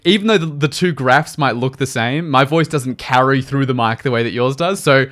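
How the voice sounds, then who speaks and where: A man's voice: mid-range voice, moderate pace, fairly bright tone. A man, co-hosting a podcast and sharing practical tips in a chatty, emphatic way. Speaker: podcast co-host and audio editor.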